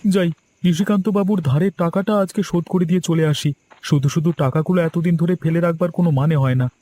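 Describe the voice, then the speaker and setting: A man's voice: fairly high pitch, brisk, mellow, lightly acted. A man playing a nervous, honest villager muttering to himself in a horror cartoon. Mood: earnest. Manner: talks to self, jittery. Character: nervous, honest villager, easily spooked eavesdropper. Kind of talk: cartoon horror drama acting.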